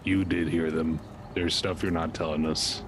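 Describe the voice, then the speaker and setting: A man's voice: very deep voice, flat delivery, moderate pace. A man casually roleplaying in a tabletop game, with noisy ambience around his voice.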